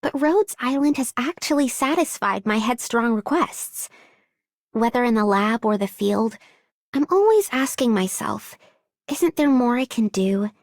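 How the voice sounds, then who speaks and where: A studious young woman scientist from a video game. She is earnest and gentle, taking her time, recorded without any room sound. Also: on the high side, lively intonation, measured pace, no echo, clean studio sound. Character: diligent, self-questioning young female scientist. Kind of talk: video game character voice line.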